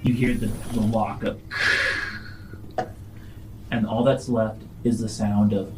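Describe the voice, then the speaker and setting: A man acting as game master, narrating a tabletop roleplaying game in a halting, improvised way. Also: fairly low pitch, little pitch movement, noisy background. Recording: music under the voice.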